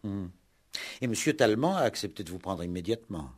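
An actor playing a probing, dryly sceptical police commissioner in a 1960s French radio play, questioning in a curt, methodical way with grunts and short prompts. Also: male voice, on the low side, big pitch swings, a little fast.

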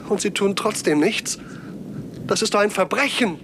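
A man's voice: sits high, moderate pace, noisy background. An actor playing an appalled, insistent man who presses his questions earnestly and indignantly in a 1970s German radio play.

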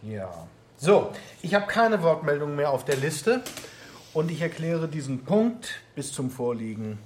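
A man's voice: fairly high pitch, very animated, measured pace, a little mellow, a little hiss or hum. An actor playing a formal, reproving male committee chairman running a meeting in a radio drama. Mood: stern, procedural.

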